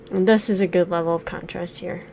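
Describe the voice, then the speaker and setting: A woman's voice: fairly low pitch, fairly even intonation, a little fast, gravelly, darkish tone, slight background noise. A woman in her forties giving thoughtful, hesitant let's play commentary with many fillers while reviewing a game. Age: 40s.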